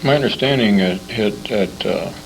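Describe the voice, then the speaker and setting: A man's voice: fairly low pitch, normal speed, gravelly. A man asking informal interview questions, hesitant and groping for words. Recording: noisy 1980s cassette interview, clicks.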